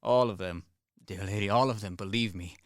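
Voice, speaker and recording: A man acting in a radio play as a desperate fugitive soldier, brisk, commanding and sardonic. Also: lowish voice, no room sound, studio quality, lightly acted. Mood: wry, menacing. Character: fugitive Serbian soldier, cynical, desperate, coolly threatening. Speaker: actor in a student radio play.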